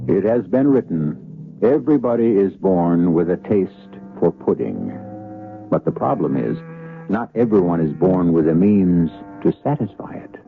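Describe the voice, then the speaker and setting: Casting: male radio narrator, gravelly and expressive, theatrical scripted delivery, thin vintage broadcast sound. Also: low-pitched, measured pace, phone-quality sound, faint music behind. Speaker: narrator and host of radio drama.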